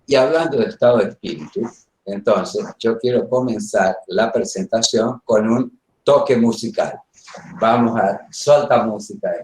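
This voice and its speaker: A man giving an informal online lecture as a guest, speaking reflectively and pausing between phrases. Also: lowish voice, darkish tone. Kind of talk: informal spoken lecture opening. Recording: roomy, echoing online call.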